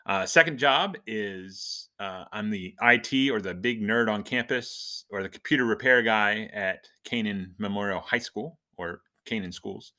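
Bright, low-voiced man hosting. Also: unhurried, hardly any pauses. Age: adult, husband and father. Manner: jokey, self-described nerd. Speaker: podcast host and church minister. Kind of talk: casual humorous podcast monologue.

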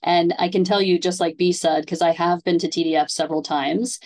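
A woman's voice: lowish voice, steady tone, a little fast, darkish tone. A woman hosting a conversational interview, speaking informally and explaining things. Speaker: interview host.

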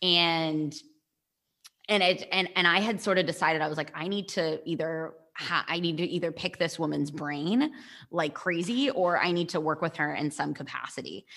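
A young woman with a notably low voice tells her story in a loose, rambling way. She leans on "like" as a filler while chatting as a podcast guest. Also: moderate pace, fairly bright tone. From United States. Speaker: guest, nutrition coach. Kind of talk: casual podcast chat.

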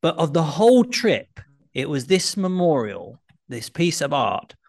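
A man on a casual podcast chat, animated and enthusiastic, telling a story with the odd self-deprecating laugh. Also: on the high side, unhurried, creaky. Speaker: podcast co-host. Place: traveling abroad, remote call.

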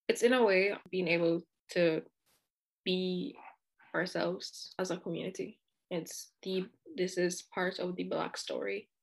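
A woman's voice: on the low side, steady tone, a little slow, poor audio. A woman from Jamaica, speaking as a student guest in an earnest, outspoken way with frequent asides. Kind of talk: candid conversational discussion.

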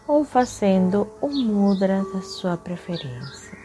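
A woman leading a guided meditation in a soothing, unhurried manner, with long pauses between phrases. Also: mid-range voice, sing-song, mellow. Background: music.

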